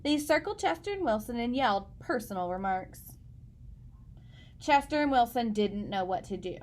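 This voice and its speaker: A woman with a fairly high voice narrates a children's story. She reads expressively, as a storyteller addressing young listeners. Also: expressive, normal speed, a little mellow. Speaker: read-aloud storyteller.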